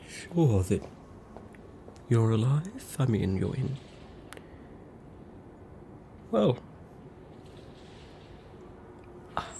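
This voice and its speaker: A woman's voice: rumbling low, mellow, a little hiss or hum, exaggerated voice. A woman with an Australian accent playing a fussy, polite ghost in a whispered ASMR roleplay, with quaint, hesitant wording. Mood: polite, flustered. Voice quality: breathy whisper. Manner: quaint old-fashioned wording, hesitant, apologetic. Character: fussy, polite female ghost haunting a hospital bed. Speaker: ASMR performer.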